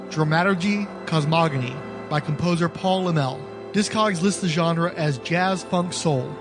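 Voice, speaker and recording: A man with a slightly high voice reads scripted documentary narration as a podcast host. Music plays clearly behind him.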